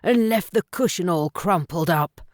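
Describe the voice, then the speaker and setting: A woman voicing a big, gruff father bear who growls loudly and indignantly in a children's storybook. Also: lowish voice, moderate pace, no room sound, studio quality, lightly acted. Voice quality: growling, heavy. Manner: loud, indignant.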